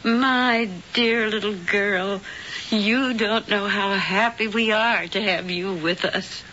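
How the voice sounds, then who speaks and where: Bright, theatrical sly hostess. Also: female voice, medium pitch, fairly even intonation. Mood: gushing, sweet. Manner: effusive, theatrical, drawn-out endearments. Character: gushing, falsely sweet, sly scheming daughter and hostess. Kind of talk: old-time radio acting. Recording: dull, slightly hissy old radio recording.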